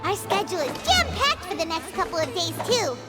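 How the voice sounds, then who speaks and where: A woman voicing a small, chirpy, curious childlike fairy companion in a video game, squeaky and eager. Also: big pitch swings, fairly quick, fairly bright tone, in a large hall, soft background music, heavily acted.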